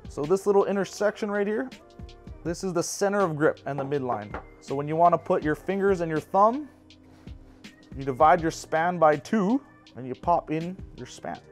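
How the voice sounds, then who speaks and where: Shop instructor, man in his 30s, lapel mic, easygoing practical delivery, light pitch. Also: normal speed, faint music behind. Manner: relaxed, practical, personal asides. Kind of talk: casual how-to explanation. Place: bowling pro shop indoors.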